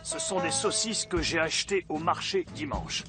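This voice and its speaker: Un acteur qui joue un amateur de plein air franc et décontracté dans un dessin animé pour enfants. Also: male voice, on the high side, moderate pace, over music, close to an everyday voice. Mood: easygoing. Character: easygoing, frank male outdoorsman at a campfire. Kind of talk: animated children's cartoon dub.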